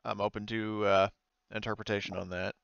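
A man's voice: on the low side, level tone, fairly quick, gravelly, a bit bright, clear, resonant tone, dry recording, muffled recording. A man running a tabletop game session as game master, talking casually with the players.

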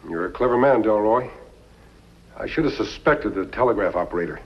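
Masked lawman in an old TV western: low, husky, calm, commanding. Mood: calm, assured. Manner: deliberate, authoritative, articulate. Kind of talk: 1950s television western acting. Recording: dull old 1950s television soundtrack.

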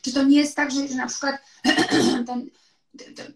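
A woman's voice: high voice, flat delivery, measured pace, vocal fry, far from the mic, a little hiss or hum. A woman in her fifties hosting a video-call interview, asking questions frankly and openly. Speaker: show host. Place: living room, indoors. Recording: echoey, off-mic room sound.

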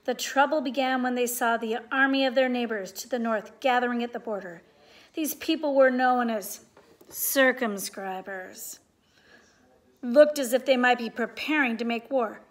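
A woman's voice: on the high side, a bit bright. A middle-aged woman reading a storybook aloud for children in a living room. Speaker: storybook reader. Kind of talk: children's read-aloud narration. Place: living room at home, indoors.

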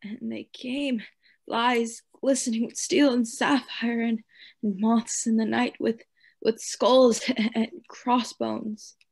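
A woman playing a tormented madman in a radio play, raving and pleading in halting, repetitive phrases. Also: on the high side, fairly even intonation, creaky, a little mellow, breathy edge, exaggerated voice. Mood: dreamy raving. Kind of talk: theatrical radio play acting.

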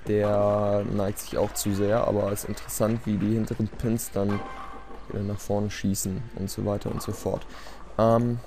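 A man's voice: fairly low pitch, flat delivery, moderate pace, dark tone. A man giving casual, self-deprecating gameplay commentary in a resigned, rambling way. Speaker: let's play commentator. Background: game music.

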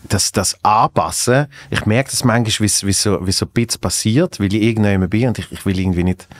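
A young man hosts a podcast in Basel Swiss German, his voice sitting fairly low. He talks hesitantly, repeating words as he gathers his thoughts, and laughs easily. He sits at a table in a dark podcast studio, close to a desk mic on a boom arm. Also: a little fast. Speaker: podcast host. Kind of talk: casual conversation.

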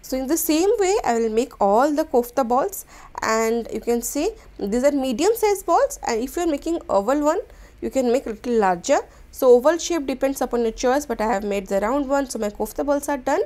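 A woman, hosting a cooking channel and explaining a recipe step by step in a gentle, instructional voice-over. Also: high-pitched, lively intonation, a little fast. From India.

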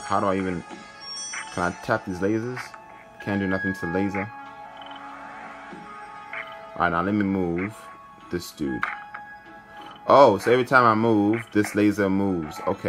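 A man hosting a gaming video, commentating casually and thinking aloud in an informal way. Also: sits low. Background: game music.

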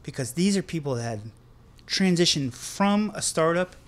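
A man's voice: fairly high pitch, expressive, normal speed. A man co-hosting a podcast, a former chef, talking enthusiastically in a casual chat. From United States, Connecticut. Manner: enthusiastic, self-described people person.

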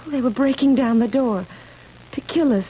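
A woman's voice: a little high, normal speed, lightly acted. An actress playing an anguished, pleading immortal young woman weary of life, in 1970s radio drama style. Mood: earnest. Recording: muffled, noisy 1970s radio recording.

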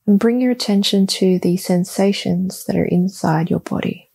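A woman leading a guided meditation, giving soft, calm, soothing instructions in short phrases with long, deliberate pauses. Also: mid-range voice, vocal fry, breathy edge.

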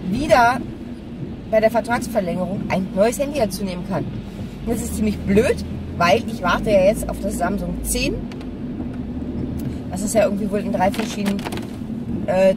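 A woman talks off the cuff as she drives, in a frank, rambling way. Her medium-pitched voice is picked up a little away from the mic inside a car. Loud engine and road noise runs under everything. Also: recorded from a distance. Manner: rambling, frank, thinking aloud. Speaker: vlogger. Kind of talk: casual chat to camera while driving. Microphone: camera on the dashboard.

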